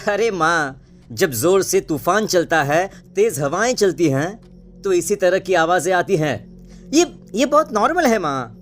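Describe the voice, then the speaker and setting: Cartoon teenage son, played by a man: dismissive, insistent, with very lively pitch movement. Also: unhurried, faint music behind. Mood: reassuring. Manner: carefree, insistent. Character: headstrong, dismissive eighteen-year-old village son. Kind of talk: animated storybook drama acting.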